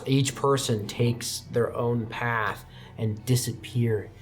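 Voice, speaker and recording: A man's voice: medium pitch, steady tone, takes it slowly. A man narrating a tabletop roleplaying game as its game master. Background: faint background music.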